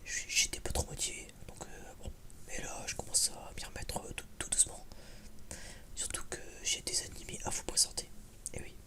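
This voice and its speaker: A man whispers a casual ASMR film review in a hesitant, rambling, informal way. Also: normal speed. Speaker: video creator giving a film review.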